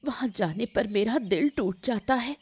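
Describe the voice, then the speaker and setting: A woman's voice: on the high side, animated, moderate pace, darkish tone, slight background noise, close to an everyday voice. A woman playing a grieving, guilt-ridden village mother in a Hindi cartoon story, in a sorrowful, plaintive way. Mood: grieving, guilty. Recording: music playing behind the voice.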